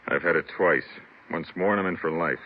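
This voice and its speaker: An actor playing a cornered repeat-offender suspect in an old radio police drama, tough, slangy and pleading. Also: male voice, low voice, brisk. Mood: defensive pleading. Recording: tinny, noisy old radio recording.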